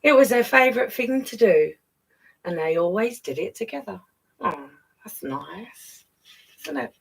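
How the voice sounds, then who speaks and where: Woman reading to children, very lively pitch. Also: unhurried, gravelly, slightly rough, recorded from a distance, poor audio. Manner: playful, addresses listeners with questions and tag questions. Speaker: storyteller reading a picture book to young children. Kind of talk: read-aloud story time with chatty asides.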